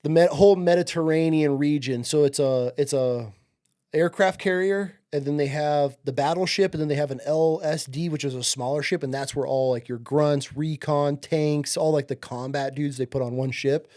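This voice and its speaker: Male guest, late thirties, from upstate New York; relaxed, profane storyteller whose voice sits slightly high. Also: moderate pace. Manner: laid-back, profane, says "dude" and "like" a lot. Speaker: podcast guest, Marine veteran. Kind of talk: casual interview storytelling.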